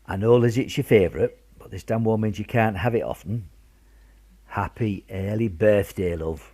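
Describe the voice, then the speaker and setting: Man acting husky, genial British grandfather. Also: fairly low pitch, moderate pace, dark tone. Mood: tender. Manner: jovial, hesitant, coaxing. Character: genial, cheeky older grandfather in wartime Britain. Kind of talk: radio play acting.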